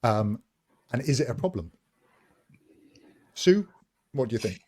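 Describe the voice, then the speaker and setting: A man's voice: medium pitch, very animated, measured pace, darkish tone, slightly rough. A man co-hosting a casual podcast chat, chatty and self-deprecating, steering the questions around the group. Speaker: podcast co-host, moderator.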